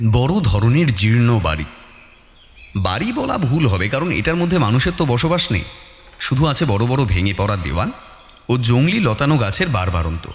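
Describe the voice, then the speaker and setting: A man narrating a detective story in the first person with expressive, dramatic delivery. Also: mid-range voice. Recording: long reverb, music bed. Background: soft music.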